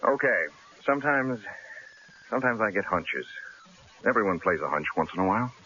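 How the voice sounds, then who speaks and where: An actor playing a stubborn, self-assured newspaper columnist in an old-time radio drama, wry and punchy. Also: male voice, fairly low pitch, lively intonation, normal speed, sharp tone. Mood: breezy, unflappable. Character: cocky, stubborn male newspaper columnist, breezy and unflappable. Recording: hissy, dull old radio broadcast recording.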